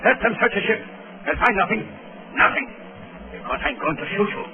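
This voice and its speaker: An actor playing a ruthless gang boss, commanding and menacing, in 1930s radio style. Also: male voice, high-pitched, animated, fairly quick. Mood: cold menace. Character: ruthless foreign villain, angry gang boss barking orders. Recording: noisy, tinny 1930s radio recording.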